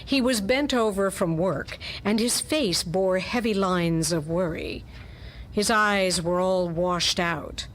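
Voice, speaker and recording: A woman's voice: lowish voice, takes it slowly. A woman narrating a pulp detective story as a dramatic reading.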